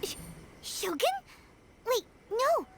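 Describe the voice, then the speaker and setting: Squeaky childlike game fairy, extremely high pitch, swooping intonation, excitable, loud music behind. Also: female voice, slow, very noisy, heavily acted. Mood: alarmed. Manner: exclamatory, questioning. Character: tiny excitable floating fairy companion, childlike girl. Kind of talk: English video game voice acting.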